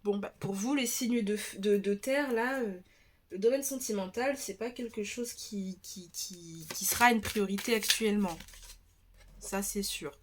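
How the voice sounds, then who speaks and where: A woman with a French accent giving a relaxed tarot reading, talking in a chatty, hesitant way with many restarts and a slightly stuffy nose. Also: mid-range voice, unhurried, slight background noise. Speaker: tarot reader. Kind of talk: casual tarot reading video.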